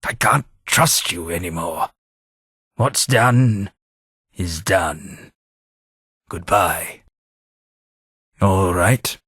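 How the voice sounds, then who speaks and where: Game companion: a centuries-old British gentleman, voiced by a man, deep and breathy, dry close sound, polite with wry wit. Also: very slow, long pauses, no room sound, heavily acted. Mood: measured. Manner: formal, polite and dryly wry. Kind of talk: video game voice acting.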